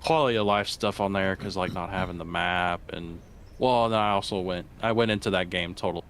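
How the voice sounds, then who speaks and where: Deep-voiced guy on a voice call, halting, keeps restarting sentences, chill gaming chat. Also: fairly even intonation, a bit bright, a little hiss or hum. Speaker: guest streamer.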